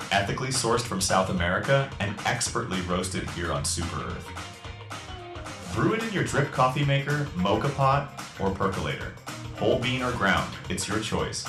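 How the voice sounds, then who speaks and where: A man performs a patriotic radio commercial announcer with a low, animated voice. He pitches in a hearty, dramatic, scripted style. The voice is roomy, over clear guitar music. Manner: salesmanlike, dramatic. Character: hearty patriotic male coffee advertisement announcer.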